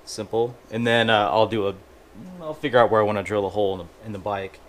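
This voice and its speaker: A man's voice: lowish voice, fast, fairly bright tone. A man explaining a hands-on build casually and informally, thinking aloud as he goes in a garage workshop. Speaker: host of a motorcycle build channel. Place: small garage workshop, indoors.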